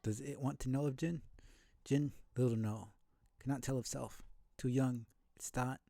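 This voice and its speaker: A man's voice: mid-range voice, steady tone, normal speed, vocal fry, warm tone, no room sound, studio quality, close to an everyday voice. Man voicing a meek creature trader in clipped fragments. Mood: humble, coaxing. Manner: clipped broken phrases, speaks of itself in third person. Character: small, meek, strange creature trader obsessed with gifts. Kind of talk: voicing video game character dialogue.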